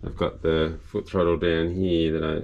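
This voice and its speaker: An older man, casually explaining a project aboard his boat in an unhurried, halting way. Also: deep voice, level tone, darkish tone, dull audio. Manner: unhurried, halting with restarts and fillers. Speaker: boat owner and video maker. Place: cabin and engine room of a sailing catamaran.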